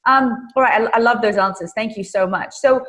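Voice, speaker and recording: A British woman hosting a podcast interview, upbeat and enthusiastic, quick to agree with her guest. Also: a little high, a bit bright. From Britain. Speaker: podcast host. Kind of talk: casual interview chat.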